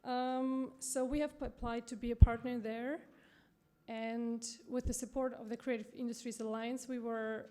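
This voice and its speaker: A woman from Austria with an Austrian accent, giving a conference presentation in a halting way with many fillers and self-corrections. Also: on the high side, level tone, mellow. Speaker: conference speaker from a promotional bank.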